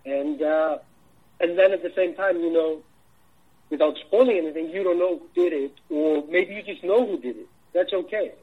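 A man from Iceland with an Icelandic accent, a film director answering interview questions in a halting way, full of restarts. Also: fairly high pitch, slightly rough, narrow, tinny audio. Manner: halting, many restarts and fillers.

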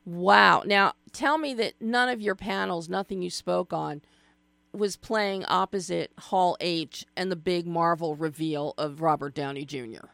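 A middle-aged woman with a gravelly, fry-tinged voice hosts a radio chat. She is playful and chatty and keeps slipping in side remarks. Her voice sounds dry and close to the mic. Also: fairly low pitch, measured pace, fairly bright tone, no room sound. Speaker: radio host. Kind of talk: casual radio interview.

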